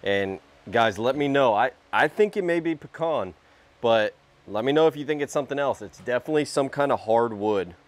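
A young man hosting a casual workshop vlog, talking in a relaxed way as he works at a sawmill under an open-sided shed. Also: mid-range voice, fairly quick. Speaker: sawmill channel host.

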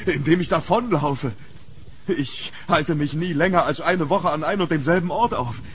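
Casting: male actor for a hunted gentleman in horror audio drama, fearful and urgent, slightly high voice, faint noise behind. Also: moderate pace, close to an everyday voice. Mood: uneasy. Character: hunted, fearful English gentleman haunted by a demon.

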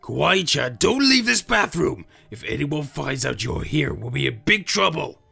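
A man voicing a vain, earnest, theatrical young man with a husky voice in an animated roleplay. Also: fairly high pitch, moderate pace, exaggerated voice. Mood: stern, worried. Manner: melodramatic, self-admiring. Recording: background music under dialogue.

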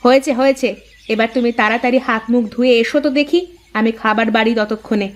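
Casting: high-voiced village wife played by a woman, sharp-tongued, sarcastic, forever scolding, for a cartoon folk tale. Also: steady tone, a little fast, lightly acted. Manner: scolding, sarcastic, colloquial rural speech.

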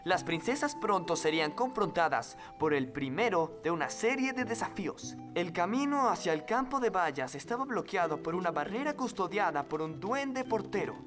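A woman narrating a fairy tale in a children's cartoon, an expressive storyteller with music underneath. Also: fairly low pitch, moderate pace, hardly any pauses, natural voice.

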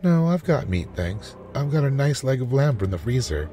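A man's voice: mid-range voice, sing-song, moderate pace, warm tone, faint music behind, voice pushed up, close to an everyday voice. A man voicing a polite, anxious housewife with an eager, over-sweet manner in a storybook reading. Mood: bright, casual. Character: housewife feigning brightness and distress, anxious, polite. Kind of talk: storybook character voice.

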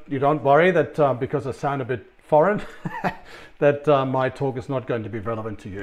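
A middle-aged man with an Australian accent presenting a recorded conference talk from his office, chatty and full of ums and restarts. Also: mid-range voice. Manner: conversational, many ums and restarts. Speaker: sales consultant presenter.

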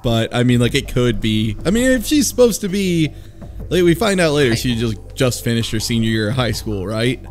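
A man's voice: mid-range voice, very animated, normal speed, a little hiss or hum. Male panelist, twenties, mid-range voice with lots of movement, jokey and informal. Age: 20s. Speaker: podcast panelist. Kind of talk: casual joking discussion.

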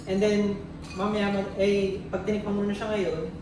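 A man's voice: high voice, level tone, normal speed. Filipino guy showing steps casually, taglish, far off mic in a noisy room. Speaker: drink demonstrator. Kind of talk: casual step-by-step demonstration in mixed Tagalog and English. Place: small tiled demo kitchen, indoors. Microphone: distant camera microphone. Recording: echoey room, distant mic, background noise.

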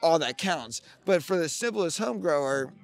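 A man's voice: fairly high pitch, lively intonation, normal speed, vocal fry. An American man interviewing someone outdoors in a casual, chatty way on a handheld microphone. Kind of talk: casual chat. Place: outdoors on a festival lawn. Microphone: handheld microphone, face covered by cloth.

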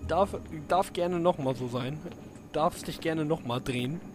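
A man's voice: fairly high pitch, a little slow. A man giving casual, jokey commentary while playing a video game, talking to the characters on screen. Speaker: let's play commentator. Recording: game music behind the voice. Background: game music.

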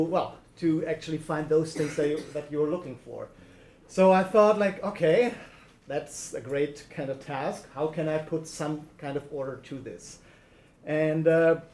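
A man with a German accent gives a conference presentation in a lecture room. His voice sits a little high, and the echoey room adds reverb. He talks in a chatty way, full of fillers and little laughs. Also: a little mellow. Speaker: conference speaker. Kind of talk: presentation talk.

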